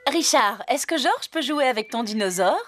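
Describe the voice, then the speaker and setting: A woman voicing a patient, polite mother rabbit in a preschool cartoon dub, coaxing children in clear speech. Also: on the high side, lively intonation, normal speed, music in the background, lightly acted.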